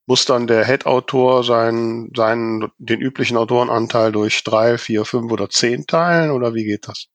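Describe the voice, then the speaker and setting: A man's voice: medium pitch, steady tone, moderate pace, few pauses, dry recording. A man hosting a podcast, asking questions in a casual conversational way. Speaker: podcast host, interviewer.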